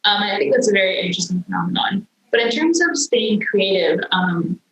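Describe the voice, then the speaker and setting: A woman's voice: medium pitch, animated, brisk, fairly bright tone. Young woman explaining in an echoey room. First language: Hindi. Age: young adult. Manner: explanatory, frequent "um" fillers. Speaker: guest, software engineer. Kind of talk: casual interview answers.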